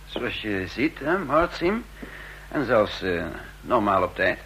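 An actor playing a genial male spy posing as a gentleman in a vintage radio drama, relaxed and musing, with frequent hums. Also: fairly low pitch, takes it slowly, a little hiss or hum, heavily acted, rough recording.

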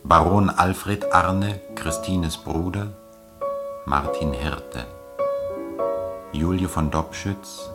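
Super deep guy announcing a radio drama cast, slow n formal, piano music loud behind him.

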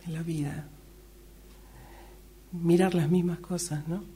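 A woman's voice: fairly low pitch, flat delivery, takes it slowly, warm tone, breathy edge. A middle-aged woman with an Argentine accent chatting casually face to face in a workshop exercise. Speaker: radio drama workshop participant. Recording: humming, noisy amateur recording.

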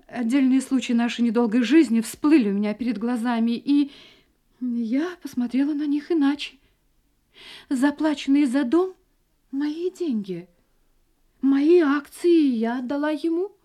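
An actress playing a frightened wife in a Russian audio drama, speaking in an agitated, halting, dramatic way. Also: female voice, high-pitched, dark tone. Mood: anxious, confessing. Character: frightened young wife recounting her escape from a murderous husband.

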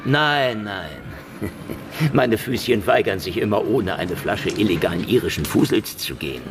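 Wisecracking hard-boiled male snoop, low voice, swooping delivery, noir radio drama, cheeky and boozy. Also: expressive, normal speed, creaky. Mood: cheeky, needling. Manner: wisecracking, needling. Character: sardonic, hard-drinking Russian private snoop with a cheeky mouth. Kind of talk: noir radio drama acting with first-person narration. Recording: studio radio play with music underneath.

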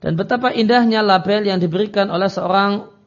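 A male Islamic teacher delivers a religious lecture in a slightly high, gravelly voice. He works through a text didactically, moving between Arabic phrases and their explanation. The audio is dull and lo-fi. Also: fairly quick. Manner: didactic, reads Arabic phrases then translates and explains them.

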